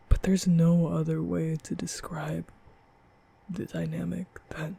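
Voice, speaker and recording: A man playing a nervous, apologetic young vampire in an intimate ASMR roleplay, speaking breathily and often half-whispering, halting and stammering. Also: on the high side, vocal fry, mellow, breathy edge, full character voice. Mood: weary, frustrated. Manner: halting, stammering, rambling, sighing. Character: nervous, apologetic young male vampire, guilt-ridden and soft.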